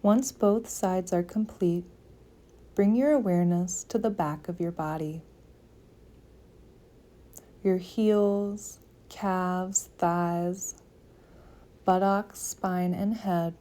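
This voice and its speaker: A woman leading a guided meditation, giving calm, gentle, soothing instructions with long pauses between phrases. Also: on the low side. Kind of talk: guided yoga nidra meditation.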